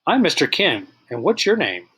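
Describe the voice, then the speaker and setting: A man's voice: fairly high pitch, wildly swooping pitch, normal speed, a bit bright, breathy edge, pitched above the performer's own voice, full character voice. Man acting friendly male shopkeeper, storybook character, kindly, courteous, chatty.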